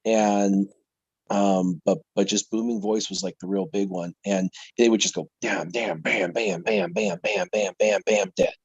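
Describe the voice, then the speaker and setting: A man who streams the game, talking casually on a live panel and telling a rambling, animated story. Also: lowish voice, a little slow, breathy edge, no room sound, dull audio.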